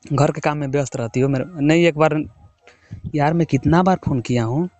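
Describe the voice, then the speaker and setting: A man's voice: fairly high pitch, a little fast, darkish tone, no room sound. A young man from the of India, chatting casually with his wife, teasing and coaxing. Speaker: husband working away from home. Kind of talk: casual phone chat.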